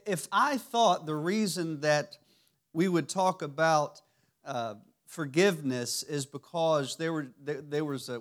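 A man with a raspy voice giving a church teaching sermon, halting and restarting often. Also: fairly high pitch. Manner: halting, many restarts and fillers. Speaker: lay preacher. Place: church sanctuary.

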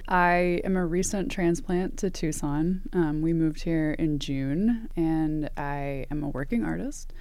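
A woman's voice: low-pitched, unhurried, few pauses, a little mellow, dry recording. A woman from East Tennessee, an artist and academic advisor, answering interview questions thoughtfully with frequent ums and restarts. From East Tennessee, USA.

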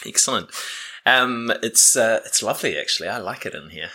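A man hosts a podcast in a guest's living room. He asks questions in a loose, friendly way and often restarts his sentences. His voice sits very low and has a sharp, cutting edge. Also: a little fast, husky. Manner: relaxed and friendly, with frequent ums and restarts. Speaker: podcast host. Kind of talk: casual interview.

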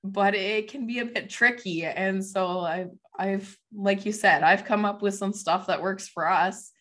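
A woman in her thirties talks in a casual podcast chat, her voice hoarse from a cold. Her tone is bright and forceful under the rasp. She chats easily, peppering her talk with likes and laughter. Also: mid-range voice, unhurried. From Canadian prairies. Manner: chatty, laughs often, many likes. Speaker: podcast co-host, farm mom.

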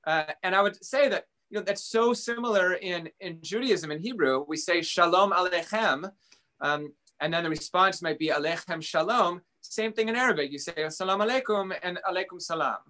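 A man's voice: sits high, sharp tone. A middle-aged man, a rabbi, chatting casually about interfaith ties, full of fillers and restarts. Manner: halting, many fillers and restarts.

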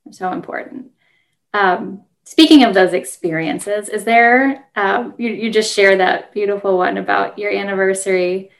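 A woman's voice: medium pitch, fairly even intonation, normal speed, creaky. An American woman interviewing a guest in a conversational, unscripted way.

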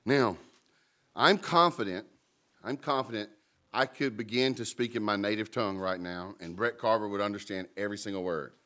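Emphatic preaching man, lively swings. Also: fairly low pitch, moderate pace. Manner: emphatic preaching, calls to the congregation; jokes about mumbling. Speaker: pastor. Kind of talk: sermon.

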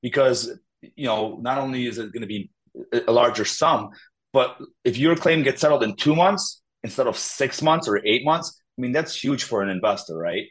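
A man's voice: medium pitch, moderate pace, a bit bright, breathy edge, rough recording. A man in his thirties from Poland, a guest talking casually with easy laughs and many fillers. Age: 30s. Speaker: guest, public adjuster. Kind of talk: casual interview talk.

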